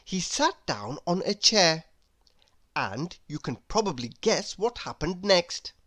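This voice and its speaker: A woman reading a children's story aloud as an expressive, unhurried storyteller. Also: fairly low pitch. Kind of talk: children's read-aloud narration.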